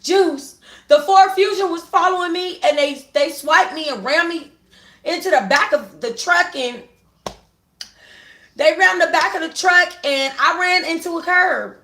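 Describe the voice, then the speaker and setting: Woman acting out a frantic, high-strung urban-novel heroine. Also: unhurried, bright, forceful tone, full character voice. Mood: hysterical, frantic. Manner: breathless run-on pleading, hysterical. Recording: roomy echo on a phone livestream.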